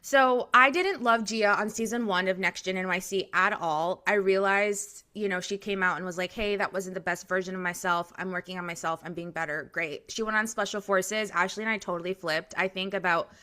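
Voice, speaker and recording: Bright-voiced chatty woman podcaster. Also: mid-range voice, fairly even intonation, a little fast, hardly any pauses. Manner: chatty, opinionated, restarts and fillers. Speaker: podcast co-host. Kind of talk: casual reality TV gossip chat.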